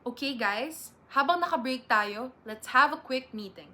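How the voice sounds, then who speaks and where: A woman's voice: high-pitched, lively intonation, measured pace, pushed and bright, exaggerated voice. A Filipino woman playing a chatty, proud and bossy college instructor in her thirties in an amateur dramatic reading. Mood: officious, proud. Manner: chatty, officious. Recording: faint background noise.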